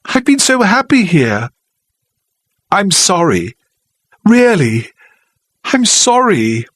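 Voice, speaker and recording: Male narrator voicing a flustered, pleading older southern English woman, high and lively, dry recording. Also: measured pace, no echo, heavily acted. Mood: earnest, pleading. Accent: southern English middle-class. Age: 60s. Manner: earnest, pleading.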